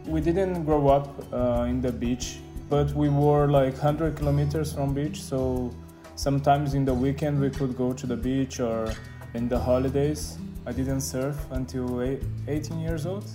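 A man in his twenties talks in English with a Brazilian accent. His voice sits at a medium pitch, and he chats casually about his own life as an interviewee. Guitar music is clearly heard behind him. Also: fairly even intonation, measured pace. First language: Portuguese. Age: 20s. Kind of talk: casual personal storytelling.

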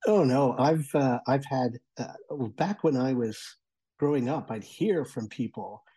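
A man in his forties with a middle-pitched, husky voice and a very relaxed tone. He talks as a radio contributor in a conversational style, pausing and thinking as he goes. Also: no room sound. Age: 40s. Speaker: audio entertainment and accessible technology contributor.

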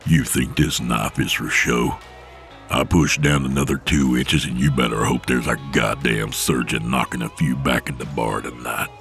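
Brief: narrator doing a menacing enforcer, very low, gravel-rough growl, slow threats. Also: male voice, steady tone, a bit bright, faint music behind.

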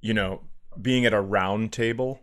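A man's voice: on the low side, steady tone, a little slow, fairly bright tone. A man co-hosting a comedy podcast, bantering casually with playful, crude jokes and acted-out asides.

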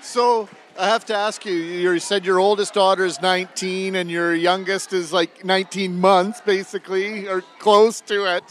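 A man's voice: high voice, flat delivery, moderate pace, few pauses, a bit bright, soft background music. A middle-aged man doing a casual, inquisitive radio interview out in the field. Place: on location at a school event. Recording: field recording with faint ambient noise.

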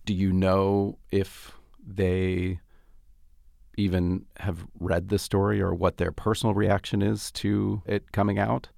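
A man interviewing a guest, asking slow, measured questions. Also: low voice, level tone, gravelly, a little mellow, no room sound, studio quality.

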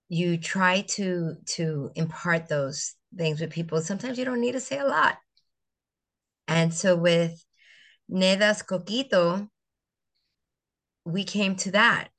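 A middle-aged woman from the Virgin Islands, a teacher and founder, talking through her story in a relaxed interview with pauses and restarts. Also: lowish voice. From US Virgin Islands (St. Croix). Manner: reflective, storytelling, with frequent fillers and restarts. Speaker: guest, educator and business founder. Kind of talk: conversational interview.

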